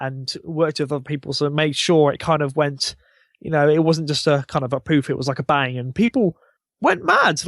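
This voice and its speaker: A man's voice: fairly high pitch, dry recording, clean studio sound. A man talking casually as a podcast guest, rambling informally and with enthusiasm. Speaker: podcast guest, YouTube channel founder. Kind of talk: casual interview chat about a gaming channel.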